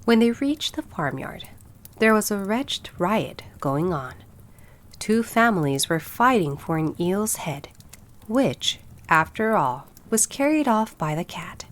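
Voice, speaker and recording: A woman narrating a fairy tale, reading from a script in an expressive storybook style. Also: mid-range voice, takes it slowly.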